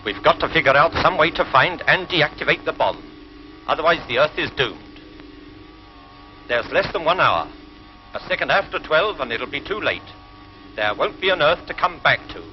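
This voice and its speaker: An actor playing a stern Earth security commissioner in 1950s TV science fiction, clipped, demanding and authoritative. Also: male voice, a little high, lively intonation, normal speed, long pauses, slight background noise, close to an everyday voice. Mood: grave urgency. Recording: muffled 1950s TV soundtrack with music.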